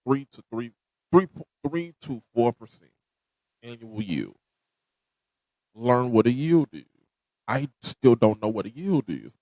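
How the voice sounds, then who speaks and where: A man's voice: mid-range voice, darkish tone, no room sound, low-quality recording. A man hosting a podcast, giving a direct, motivational talk that repeats its points. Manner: preachy, direct, repeats himself. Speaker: podcast host.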